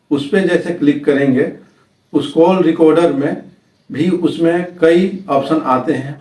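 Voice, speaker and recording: An Indian man with a slightly high voice walks through instructions step by step. His phrasing is halting and repetitive. The sound is strongly echoey, picked up a little way from the microphone. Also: a little mellow. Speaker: tech tutorial host. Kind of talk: step-by-step spoken tutorial. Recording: echoey room, distant microphone.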